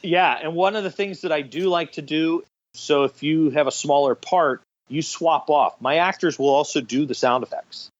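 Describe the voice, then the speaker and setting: A man's voice: fairly high pitch, moderate pace, highs cut off. A man speaking as an interview guest, explaining things conversationally with illustrative anecdotes. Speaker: podcast guest, radio theatre director.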